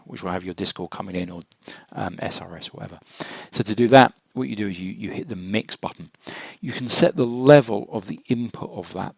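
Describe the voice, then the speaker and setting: A man with a very low, husky voice presents an informal software tutorial. He explains things matter-of-factly with some hesitation, with fry in his tone. The sound is dry and close to the microphone. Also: no echo. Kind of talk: informal software walkthrough narration. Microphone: close computer microphone, screen-recording voice-over.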